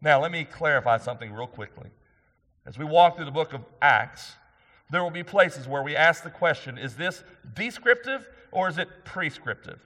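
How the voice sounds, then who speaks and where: Loud preaching pastor in a reverberant hall. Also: male voice, a little high, expressive, normal speed. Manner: loud emphatic preaching, rising for key points. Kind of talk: sermon. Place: church sanctuary.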